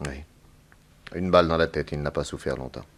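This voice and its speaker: Calm detective in an old 60s radio play, low voice, measured polite questioning, in charge. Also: male voice. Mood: grave, probing. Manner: deliberate, methodical, courteous. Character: calm, authoritative male private detective questioning witnesses. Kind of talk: 1960s French radio drama acting.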